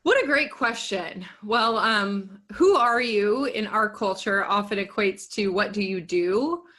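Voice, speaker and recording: A woman's voice: medium pitch, expressive, a little slow, sharp tone. A woman in her thirties talking candidly as a guest on a podcast about her work as a yoga and business coach, chatty and self-deprecating. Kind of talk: podcast interview.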